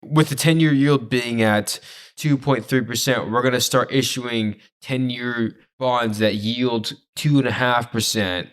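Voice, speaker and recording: A man's voice: medium pitch, steady tone, unhurried, a bit bright. A man co-hosting a podcast, explaining in casual chat with an informal, stop-start delivery that often repeats itself. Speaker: podcast co-host.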